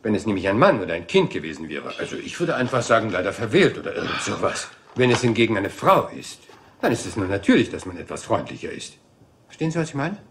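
An actor playing a rumpled, humble police inspector in a German radio crime drama, talking in hesitant, apologetic, rambling questions. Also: male voice, on the low side, very animated, quick pace, a bit bright, slight background noise. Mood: musing.

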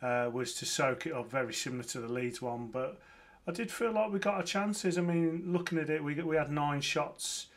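A man's voice: a little high, lively intonation, normal speed. A man in his thirties from Lancashire with a Birmingham accent, giving a casual, enthusiastic football match reaction from home. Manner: chatty, enthusiastic, frequent fillers and restarts. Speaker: football podcast host. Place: home room with framed shirts, indoors.

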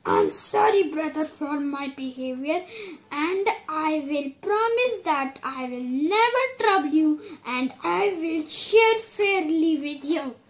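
A woman voicing a cunning, bossy elder brother puppet with a childlike, deliberate manner. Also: high voice, animated, reverberant, full character voice. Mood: apologetic.

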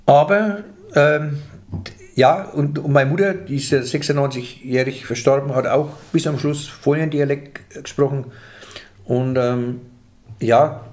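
Older man, Franconian accent, fairly high-pitched, talkative with frequent restarts, guest in interview. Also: unhurried, darkish tone. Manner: chatty, lots of restarts, gestures while talking. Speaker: comedian guest. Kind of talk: casual interview chat. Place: small indoor office room. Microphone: table microphone.

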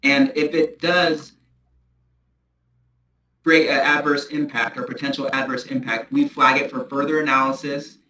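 A man presenting a technical analysis at a transit board meeting over a video call, measured and procedural. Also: medium pitch, steady tone, pushed and bright, reverberant, slight background noise, low-quality recording. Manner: measured, procedural, restarts often. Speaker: transit agency staff presenter.

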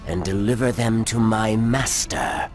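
Man playing a deep, slow, menacing alien. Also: soft background music, close to an everyday voice. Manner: stern, deliberate, formal. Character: menacing, formal male alien servant of a master. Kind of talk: video game cutscene voice acting.

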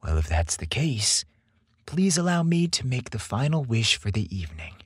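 A man's voice: medium pitch, sing-song, moderate pace, husky, no echo, studio quality. A man voicing an eager, smitten young man in a romance story, with an earnest, playful manner. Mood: teasing.

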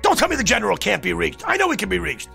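An American actor playing an agitated, cynical man who insists he has seen disaster coming, speaking in a blunt, slangy, pleading way with a gravelly voice. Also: sits high, wildly swooping pitch, very fast, sharp tone, faint music behind, heavily acted. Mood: desperate. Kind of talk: dramatic screen acting.

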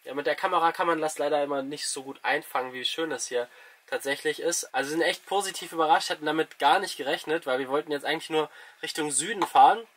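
A man's voice: a little high, fast talker, fairly bright tone. A man in his twenties chatting casually and enthusiastically to camera as a travel vlogger. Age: 20s. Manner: enthusiastic, colloquial. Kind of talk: casual vlog chat. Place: mostly inside a small camper van. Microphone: camera mic at arm's length.

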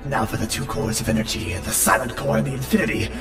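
A man's voice: on the low side, fairly even intonation, quick pace, gravelly. A man voicing a grumpy, power-hungry dragon villain, theatrical and exasperated, in a cartoon parody. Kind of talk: fan parody cartoon dub. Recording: echoey, under loud music.

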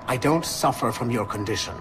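An actor playing a proud, obedient zealot in training, speaking with formal, arrogant restraint in a video game cutscene. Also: male voice, medium pitch, brisk, lightly acted. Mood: haughty, guarded.

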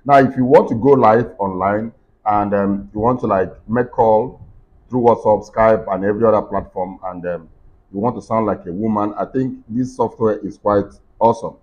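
A man in his thirties giving a casual software tutorial, earnestly repeating his points to viewers at a desk computer microphone. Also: lowish voice, normal speed. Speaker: tech tutorial host. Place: small indoor room. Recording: dull, muffled lo-fi sound.

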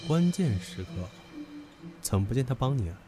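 Guy doing cocky rich young man, pushy n taunting, audio drama, lively pitch, music behind him. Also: normal speed, lightly acted. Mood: smug, goading. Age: young adult. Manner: goading, domineering. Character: brash, possessive young rich man, taunting and hot-tempered. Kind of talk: Chinese audio drama acting. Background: background music.

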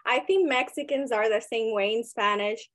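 A woman's voice: a little high, moderate pace, a bit bright. A young woman with a light Cuban accent, a guest broker talking casually and expressively on a video call from home. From Cuba.

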